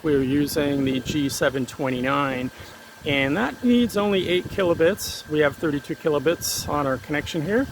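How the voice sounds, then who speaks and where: A man's voice: fairly high pitch, moderate pace, slight background noise, rough recording. A man presenting a casual hands-on tech demonstration, explaining technical steps plainly and informally to his viewers. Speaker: hobbyist presenter of a radio and satellite tech vlog.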